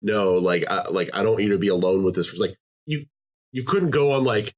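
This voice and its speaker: A man's voice: on the low side, fairly quick, darkish tone. A man hosting a podcast, talking casually, rambling with many fillers and restarts. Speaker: podcast host. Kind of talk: casual chat.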